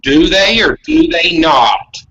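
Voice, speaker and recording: A man argues heatedly in a forceful, slightly high voice that swings around a lot. He is combative and demanding, and swears freely. The audio is dull, like an online call. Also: animated, takes it slowly. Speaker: debate guest. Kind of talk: heated argument.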